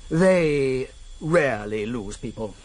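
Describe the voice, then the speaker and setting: Fussy, coolly menacing intelligence chief, lively intonation. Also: male voice, medium pitch, slow, low-quality recording. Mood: smooth, cold. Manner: fussy, precise, insinuating. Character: pedantic, coolly menacing male senior intelligence official. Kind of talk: radio drama acting.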